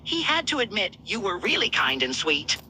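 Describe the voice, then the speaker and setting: A woman's voice: mid-range voice, big pitch swings, normal speed, bright, forceful tone, a little hiss or hum. A woman reading a fan-fiction story aloud as narrator.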